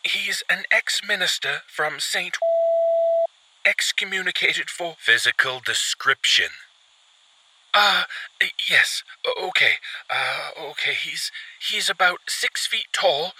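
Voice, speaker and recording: Jittery man on the phone, high voice, stammers, hesitant, as a man puts him on. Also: sharp tone, full character voice, low-quality recording. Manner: hesitant, stumbling over words. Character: nervous stammering male client on the phone.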